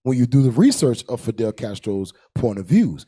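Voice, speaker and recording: A man's voice: mid-range voice, very animated, normal speed, dark tone, breathy edge. An American man in his thirties giving emphatic, repetitive religious commentary in colloquial speech on a panel, into a handheld mic. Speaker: panel host, religious teacher. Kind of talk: impassioned preaching and panel commentary. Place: indoor curtained studio set, panel table. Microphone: handheld microphone.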